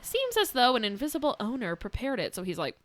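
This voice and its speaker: A woman's voice: a little high, wildly swooping pitch, fast, a bit bright. A young woman co-hosting a podcast, casually retelling a fairytale with sarcastic asides, laughter and quotes acted out in voices. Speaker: podcast co-host. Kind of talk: casual storytelling chat.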